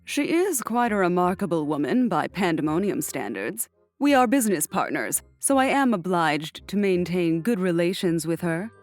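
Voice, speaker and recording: A woman's voice: on the low side, sing-song, moderate pace, darkish tone, soft background music. A woman voicing a poised, scheming cartoon villain with formal, businesslike wording. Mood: cool, diplomatic. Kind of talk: cartoon comic dub.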